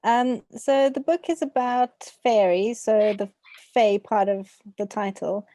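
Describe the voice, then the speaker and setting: A woman's voice: a little high, a little slow, warm tone. A young adult woman with a South African accent, talking casually as an author being interviewed.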